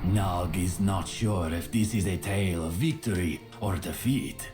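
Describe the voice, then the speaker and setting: A man's voice: low voice, unhurried. A man playing a doubtful beast-folk companion in a video game, speaking of himself in the third person. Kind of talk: video game character acting. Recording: music clearly under the voice.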